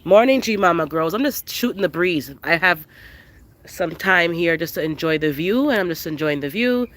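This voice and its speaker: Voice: mid-range voice, expressive, normal speed, fairly bright tone. Stuffy nasal gardener rambling on a phone live stream out in the backyard, easygoing chatty vibe. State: congested, nasal from a head cold. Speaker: gardening livestream host. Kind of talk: casual live chat with viewers.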